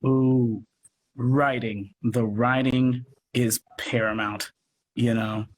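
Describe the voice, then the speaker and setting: A man's voice: mid-range voice, level tone, slow. A man chatting casually as an interview guest, speaking emphatically and with enthusiasm, often restarting his sentences and adding asides. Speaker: guest, theatre company producer. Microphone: video call. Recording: noisy live video call.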